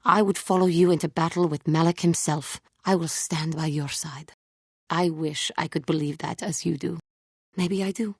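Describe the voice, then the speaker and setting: A woman voicing a brooding, remorseful catlike alien Jedi warrior in a video game, speaking formally and earnestly with a husky voice. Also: low-pitched, fairly even intonation, darkish tone, no echo, clean studio sound. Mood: devoted. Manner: formal, solemn, measured speech without contractions. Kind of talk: video game character acting.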